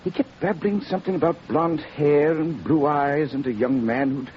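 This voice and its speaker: An actor playing a worried, earnest country physician, speaking formally and a little hesitantly in 1940s radio drama style. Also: male voice, on the high side, steady tone, moderate pace, close to an everyday voice. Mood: grave, urgent. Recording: dull, hissy old radio recording.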